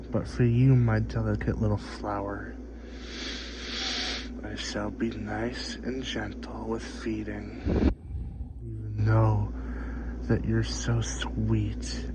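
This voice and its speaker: A man playing a seductive, hungry vampire master in a soft-spoken ASMR roleplay, with drawn-out, sighing, murmured speech. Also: on the low side. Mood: gently menacing. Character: hungry, seductive male vampire, doting yet domineering master. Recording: dull, muffled audio with clear background noise.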